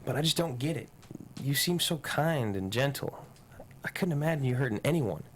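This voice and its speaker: Man playing young farm boy, mid-range voice, gentle, naive, earnest, hesitant, drama table read, faint noise. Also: medium pitch, lively intonation, moderate pace, natural voice. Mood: uneasy.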